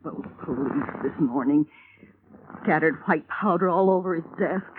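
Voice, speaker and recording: A woman's voice: deep voice, a little slow, noisy background. An actress playing a fretful housekeeper woman, talking in an anxious, fluttery way in a 1950s radio drama. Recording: tinny 1950s radio broadcast.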